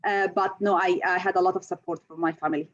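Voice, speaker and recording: A woman's voice: on the low side, fairly even intonation, fast. A woman from Syria, a chemical engineering lecturer, answering interview questions with many hesitant fillers. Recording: slightly dull recording.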